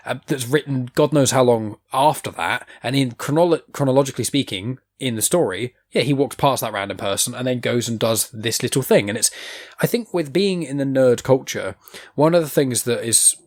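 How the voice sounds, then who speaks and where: A young man hosting a podcast, rambling in animated casual chat and swearing now and then. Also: mid-range voice, moderate pace. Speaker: podcast host.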